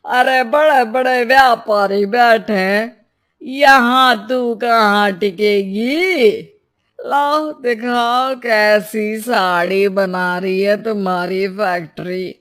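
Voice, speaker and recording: A woman's voice: medium pitch, drawn-out words, fairly bright tone. A woman playing scoffing, doubtful naysayers in a moral tale, with a scolding, challenging manner. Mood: mocking.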